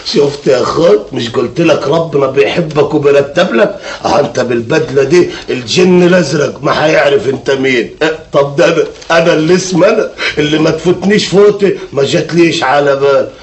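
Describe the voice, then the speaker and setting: An actor playing a chummy friend who talks in a teasing, familiar way. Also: male voice, on the high side, fast talker, few pauses, lightly acted. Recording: roomy, distant, faint noise.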